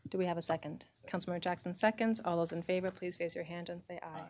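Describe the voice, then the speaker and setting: A woman's voice: fairly low pitch, steady tone, fast talker, darkish tone, slight background noise. A woman mayor chairing a city council meeting, calling speakers and reading procedural rules politely.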